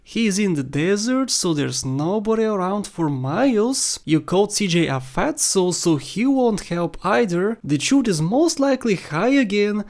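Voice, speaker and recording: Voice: medium pitch, expressive, measured pace, few pauses, darkish tone. A narrator hosting a countdown of video game scenes with scripted commentary.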